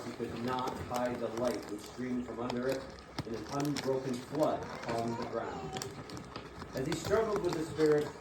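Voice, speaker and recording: A man's voice: mid-range voice, noisy background. A man narrating a scripted dramatic reading of a classic story as the narrator. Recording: echoey room, distant mic.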